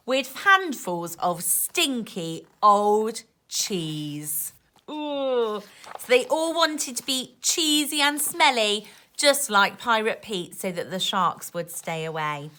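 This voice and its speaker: A woman teacher reading a rhyming picture book to young children in an expressive, sing-song way, with asides to her listeners. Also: on the high side. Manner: animated, emphatic, sing-song rhyming delivery.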